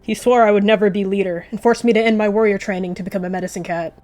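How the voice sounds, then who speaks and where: Female gamer-narrator, mid-pitched, storybook reading mixed with casual asides, light music underneath. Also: steady tone, fast, a little mellow. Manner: slips between storybook narration and offhand asides. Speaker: video creator and roleplayer. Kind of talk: casual game roleplay, reading typed story aloud with reactions. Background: background music.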